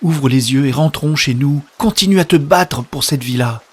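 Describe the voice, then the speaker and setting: Man acting husky, desperate, pleading lover. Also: fairly high pitch, normal speed. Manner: urgent, insistent. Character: desperate, loving man pleading with his dying partner. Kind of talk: emotional audio-drama acting.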